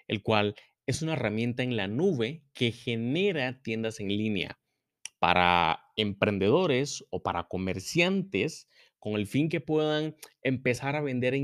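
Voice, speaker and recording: A male podcast host who stumbles and repeats words as he reads out promotions and introduces his guest. His voice sits at an ordinary middle pitch and sounds dry and close. He is speaking in an office. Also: no room sound. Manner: halting, repeats words while reading sponsor spots. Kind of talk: casual interview podcast.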